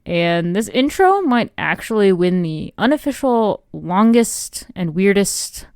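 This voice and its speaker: A woman's voice: medium pitch, very animated, takes it slowly, a little mellow, smooth, no echo. A woman hosting a podcast gives an enthusiastic, self-deprecating intro monologue into a close microphone. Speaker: podcast host.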